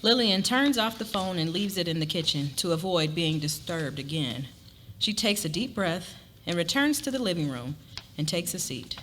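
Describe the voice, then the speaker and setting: A woman with a fairly low voice narrates a scripted story. Her delivery is expressive and very animated in pitch. It is recorded live with a little room noise behind her. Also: normal speed. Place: live event before an audience. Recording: live reading with clear ambient noise.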